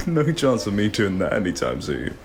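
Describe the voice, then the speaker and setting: A man acting a calm, everyday airline passenger who takes charge in an audio drama. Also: on the low side, unhurried, slight background noise, exaggerated voice. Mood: fond, weary.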